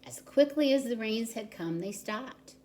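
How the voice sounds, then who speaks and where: A woman reading a picture book aloud to young children in an expressive, deliberate storytelling manner. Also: a little high, slight background noise. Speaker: volunteer story reader.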